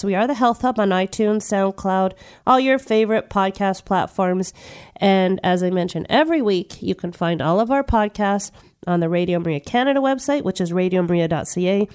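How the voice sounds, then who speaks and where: A woman hosting a radio talk show, her voice nasal from a lingering cold. She chats with her listeners in an upbeat, welcoming way, and the tone stays smooth and clear. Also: medium pitch. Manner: warm, chatty, upbeat and welcoming. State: nasal voice from the tail end of a cold. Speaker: radio health show host.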